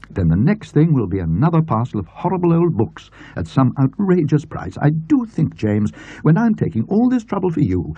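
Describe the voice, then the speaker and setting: A man's voice: a little high, warm tone, breathy edge, voice pushed up, heavily acted. A man voicing a fussy, exasperated older English aunt in a story, complaining at length. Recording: muffled, roomy old audio recording.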